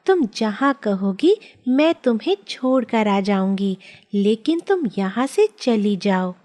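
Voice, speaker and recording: A woman's voice: medium pitch, very animated, takes it slowly, dark tone. A woman voicing a kind, worried wife with a conscience in a cartoon story, earnest and admonishing. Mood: urging. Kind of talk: children's cartoon story dialogue.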